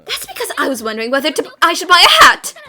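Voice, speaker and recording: A woman voicing a plucky, confident young female detective in an animated fan dub, theatrical and excitable. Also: high-pitched, quick pace, faint music behind, exaggerated voice. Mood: indignant.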